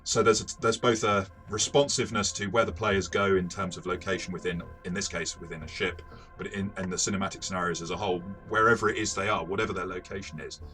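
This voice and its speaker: A man's voice: low voice, fairly even intonation, fast, bright, forceful tone, soft background music. A man co-hosting a casual, analytical game review, explaining things in a hesitant way and often rephrasing mid-sentence.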